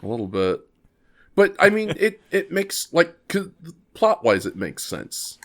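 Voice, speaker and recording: A man's voice: on the high side, big pitch swings, measured pace, fairly bright tone. A young adult man co-hosting a casual podcast, eager and prone to stammering restarts. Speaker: podcast co-host.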